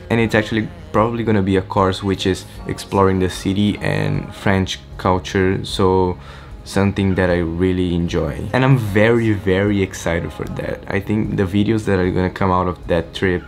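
Deep-voiced teen boy, Portuguese native. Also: moderate pace, gravelly, faint music behind. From Brazil. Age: teens. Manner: excited, rambling, restarts often. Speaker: vlogger. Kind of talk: casual vlog update. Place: small bedroom, indoors. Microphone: camera-mounted shotgun mic.

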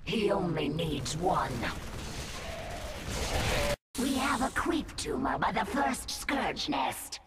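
Female monster role for a game: proud insectoid brood mother, slow menacing delivery, solemn and servile, music behind. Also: lowish voice, sing-song, reverberant. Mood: urgent. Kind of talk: video game cutscene acting.

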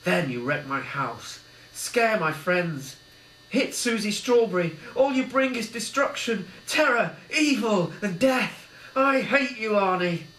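A man's voice: sits high, big pitch swings, normal speed, a bit bright, recorded from a distance, pitched above the performer's own voice. A man doing Billy, a declaiming fruit. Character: Billy, male fruit, party host turned vengeful. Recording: echoey room, faint mains hum.

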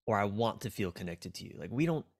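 A man's voice: fairly low pitch, animated, quick pace. An American man in his forties speaking frankly and casually as an interview guest, reflective and prone to swearing. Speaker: relationship coach, podcast guest. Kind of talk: casual, candid interview talk. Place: small dim indoor room, video call.

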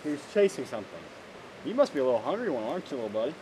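A man's voice: mid-range voice, big pitch swings, racing. A man chatting playfully and casually while fishing outdoors, talking to his catch. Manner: playful, talks to the fish. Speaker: angler. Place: outdoors by a lake. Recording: clear outdoor background noise.

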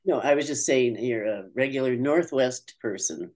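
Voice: mid-range voice, moderate pace, a little mellow. Welcoming meeting host on a video call. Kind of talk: casual remarks on a video call.

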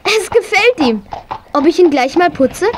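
A woman's voice: very high-pitched, expressive, unhurried, background noise. An actress playing an eager, affectionate, horse-loving schoolgirl with gushing enthusiasm in a children's radio play. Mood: tender.

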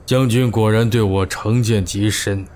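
Man playing a low-voiced, measured royal minister. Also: fairly even intonation, darkish tone, natural voice. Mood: smooth, composed. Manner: formal, deferential, persuasive. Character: shrewd, measured male royal chief minister and strategist. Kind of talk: Chinese historical audio drama acting.

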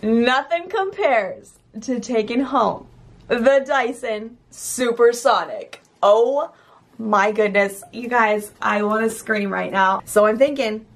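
A young woman chatting excitedly in a casual vlog, filming herself at arm's length, with a slightly raspy voice. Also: a little high, slow pace. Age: young adult. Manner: bubbly and gushing. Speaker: lifestyle vlogger. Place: car and city streets. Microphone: camera at arm's length.

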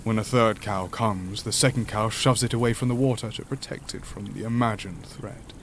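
A man playing a deep, solemn, gentle alien creature. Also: fairly even intonation, slight background noise, close to an everyday voice. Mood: gentle, grave. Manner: formal, measured, stilted speech. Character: sentient imprisoned alien monster, gentle, solemn, formal, philosophical. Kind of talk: audio drama acting.

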